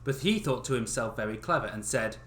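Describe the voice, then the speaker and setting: A young man reading stories aloud on a livestream in a casual, expressive way, swearing and breaking off with joking asides. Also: mid-range voice, a little fast. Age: young adult. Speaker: livestream host reading fairy tales aloud. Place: small bedroom. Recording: roomy echo of a small room.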